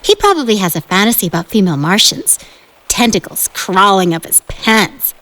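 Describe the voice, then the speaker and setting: A woman's voice: mid-range voice, sing-song, normal speed, a bit bright, low-quality recording. A woman playing a bossy, thrill-seeking teenage gang leader in a 1950s audio drama, dramatic and restless in manner. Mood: edgy, scornful.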